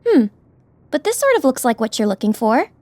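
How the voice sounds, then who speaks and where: An actress playing a nervous, curious girl in an audiobook adventure, with a hesitant, excitable manner. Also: high-pitched, brisk, no room sound. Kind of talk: audiobook character acting.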